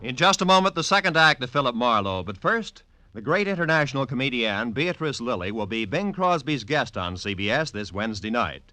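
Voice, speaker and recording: An American male announcer with a bright, forceful voice and lively swings of pitch. His delivery is polished and promotional, in 1940s network radio style, recorded dry and close. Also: brisk, few pauses, no echo, soft background music.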